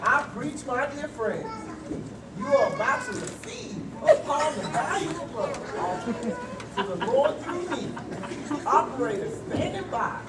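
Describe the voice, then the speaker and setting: Money-grubbing puppet-show preacher, acted by a woman, wildly animated sales delivery, heard over loud noise and crowd. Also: unhurried. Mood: grandiose. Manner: theatrical preaching sales pitch. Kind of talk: amateur church puppet show acting. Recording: distant, echoey room, loud noise, crowd.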